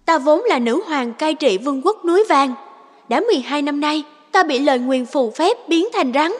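A woman playing a gracious young enchanted queen in an animated fairy tale. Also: high-pitched, normal speed, long reverb tail. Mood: solemn, imploring. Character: gracious young queen under a snake curse. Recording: music behind the voice.

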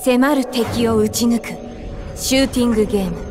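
A woman's voice: a little high, moderate pace, close to an everyday voice. A woman playing a dramatic narrator in a video game commercial. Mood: dramatic, suspenseful. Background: music.

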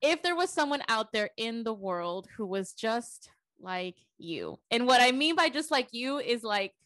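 Young woman, slightly high voice, informal chatty delivery, podcast co-host, dry sound. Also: sing-song, a little slow, a bit bright, dry recording. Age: young adult. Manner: informal, chatty, says y'all. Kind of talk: casual chat. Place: small bedroom at home, video call.

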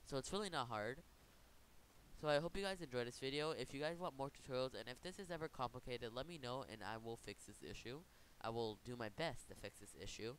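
A man hosting an informal software tutorial, talking in a casual, rambling way and correcting himself as he goes. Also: mid-range voice, a little fast, poor audio. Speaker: tutorial host. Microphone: computer microphone. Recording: noticeable background hiss.

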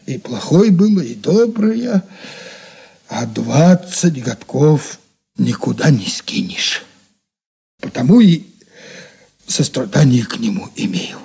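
An actor playing a humble, folksy shop servant who rambles deferentially in a radio drama. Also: male voice, medium pitch, vocal fry, a little mellow, husky, full character voice. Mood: humble, pleading. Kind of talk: 1980s Soviet radio drama acting.

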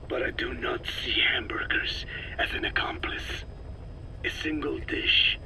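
A slow, gravelly whispering old sage. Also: male voice, mid-range voice, poor audio. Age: older. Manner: solemn, formal, deliberate phrasing. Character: proud, picky old sage in tune with nature. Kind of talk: scripted video game drama.

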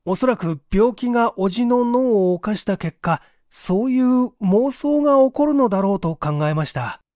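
A man voicing an anxious, flustered gentleman in a mystery story, earnest and defensive. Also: high-pitched, expressive, normal speed, no echo, clean studio sound, pitched above the performer's own voice. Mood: anxious, confessing.

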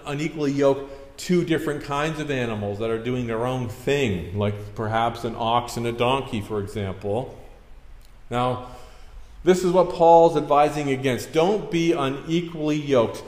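A man's voice: mid-range voice, a little mellow. A middle-aged Canadian man preaching a sermon in a church hall, talking conversationally and asking the congregation questions. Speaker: pastor. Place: church hall with a projector screen. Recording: roomy hall echo.

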